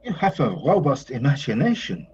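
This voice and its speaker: A man's voice: medium pitch, big pitch swings, moderate pace, darkish tone, lightly acted. An actor playing a whimsical, courtly male painter in an audio play, moody yet carefree, with a theatrical, lyrical way of talking. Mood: doubtful. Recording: dull, slightly noisy recording.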